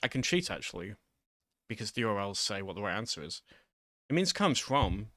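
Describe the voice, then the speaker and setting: A man's voice: on the low side, very animated, fairly quick, pushed and bright, dry recording. A man giving an informal, improvised lesson in a hesitant, rambling, self-deprecating way, close to the microphone. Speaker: online video host.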